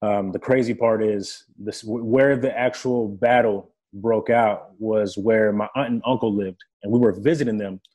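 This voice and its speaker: A man's voice: fairly low pitch, steady tone, moderate pace, hardly any pauses, a little mellow, breathy edge. A young man from the Democratic Republic of Congo, a native French speaker, telling his life story earnestly and vividly as a guest in a casual interview. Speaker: guest, player engagement staff for a football team.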